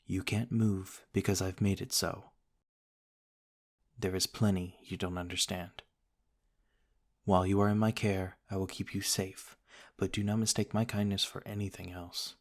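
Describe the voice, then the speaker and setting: Man playing a low, restrained noble vampire lord. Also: flat delivery. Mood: stern composure. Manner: formal, measured, restrained. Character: ancient noble male vampire lord, commanding, calm, courteous. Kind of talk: ASMR roleplay audio drama.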